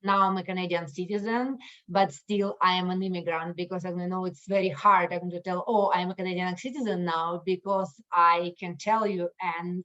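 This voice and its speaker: Casting note: Russian-accented woman, low flat voice, joking guest speaker, dull lo-fi call audio. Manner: friendly, joking, laughs often. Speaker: guest presenter. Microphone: video call.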